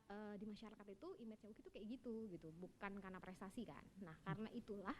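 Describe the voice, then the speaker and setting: A woman's voice: mid-range voice, very fast, slight background noise. A woman in her thirties from East Java, chatting and joking as a guest on a podcast. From East Java, Indonesia. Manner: chatty, joking, laughs often. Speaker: vice dean guest. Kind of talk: casual podcast chat.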